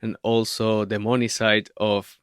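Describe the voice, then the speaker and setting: A man with a Venezuelan Spanish accent hosting a podcast interview, affirming his guest with measured, encouraging remarks. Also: lowish voice, little pitch movement, clear, resonant tone, no echo. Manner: warm, affirming, measured questions. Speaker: podcast host. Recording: dry close mic.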